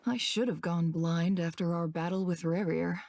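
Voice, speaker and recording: A woman's voice: lowish voice, steady tone, moderate pace, gravelly, a little mellow, no room sound. A woman playing a dry, unflinching seer in a video game, composed and wry with a teasing edge.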